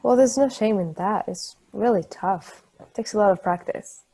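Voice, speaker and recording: A woman acts as a friendly, patient woman in a stageplay reading. The voice is mellow and relaxed at a medium pitch. The delivery is unhurried, reassuring and gently encouraging. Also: slight background noise, close to an everyday voice. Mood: gentle, reassuring. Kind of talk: stageplay table read.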